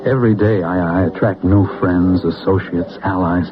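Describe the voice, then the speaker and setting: An actor with an American accent playing an intense, self-assured political zealot in an old-time radio drama, speaking grandly and persuasively with deliberate pauses. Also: male voice, deep voice, steady tone, natural voice. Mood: persuasive, fervent. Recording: muffled old radio broadcast with music.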